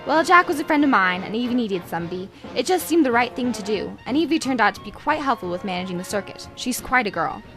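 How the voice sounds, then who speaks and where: A sly, composed lady circus boss played by a woman speaks with a knowing, theatrical lilt. Her fairly high voice swings widely in a noir radio drama, over prominent music. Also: expressive, fast, hardly any pauses. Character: sly, composed female circus owner and ex-lion tamer.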